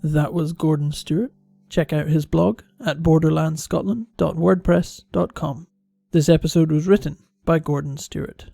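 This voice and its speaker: A man's voice: a little high, fairly even intonation, normal speed, creaky, few pauses, mellow, dry recording. A man reading scripted credits as a podcast producer and announcer.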